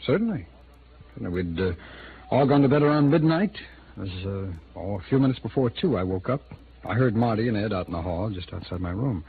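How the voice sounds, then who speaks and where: Guarded, low-voiced American attorney. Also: male voice, lively intonation, a little fast, husky, exaggerated voice. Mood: measured, hesitant. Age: 30s. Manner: hesitant, defensive, conversational. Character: guarded, smooth-talking male city attorney in his thirties. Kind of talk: mid-century American radio drama acting. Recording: phone-like, noisy old radio recording.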